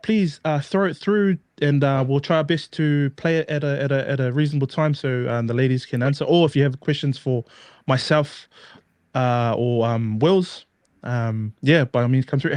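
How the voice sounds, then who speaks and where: A man hosting a casual podcast, his voice fairly high for a man. He hesitates constantly, scattering "uh" and "um" as he hands over to guests, and the sound is dry and close. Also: moderate pace, a little mellow, no room sound. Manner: hesitant, many fillers and restarts. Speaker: podcast host.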